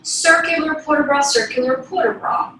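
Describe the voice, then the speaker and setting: A woman's voice: sits high, moderate pace, pushed and bright, a little hiss or hum. Echoey, distant woman teaching ballet. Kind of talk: instructional dance class, counting and cueing while demonstrating. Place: small bedroom, indoors. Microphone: far camera across the room. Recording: very echoey room, distant mic, music behind. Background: classical piano music.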